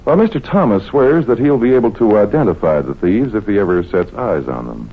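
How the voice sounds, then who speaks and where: An actor playing a genial, wry doctor investigating a case in an old-time radio drama, casual and teasing. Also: male voice, on the low side, big pitch swings, slightly rough, heavily acted. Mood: businesslike. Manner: casual, teasing, unhurried hesitations. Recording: tinny, noisy vintage radio recording.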